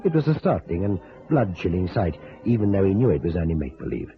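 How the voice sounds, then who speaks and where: A man's voice: sits low, big pitch swings, fast talker, narrow, tinny audio. A man narrating a detective story in a dramatic, scripted old-time radio style. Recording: band-limited old radio recording with music.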